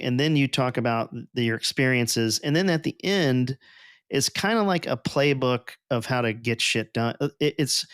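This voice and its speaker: A man's voice: medium pitch, a bit bright, smooth, dry recording, studio quality. A man hosting a podcast, talking in a casual, profane and rambling way. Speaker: podcast host.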